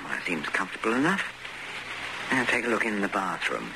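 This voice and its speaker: An actor playing an uneasy, polite male hotel guest in a radio drama, speaking in a halting, hesitant way over a very noisy recording. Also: lowish voice, very animated, creaky. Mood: polite, musing.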